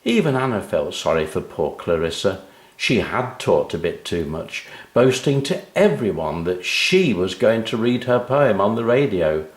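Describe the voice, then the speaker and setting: A man's voice: lowish voice, sing-song, unhurried, reverberant. A man narrating a children's story expressively, speaking directly to young listeners. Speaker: author and narrator.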